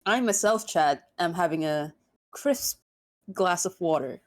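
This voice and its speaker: A woman's voice: on the low side, lively intonation, unhurried. A woman streaming casually, teasing her guest and chatting with her viewers in a laid-back way.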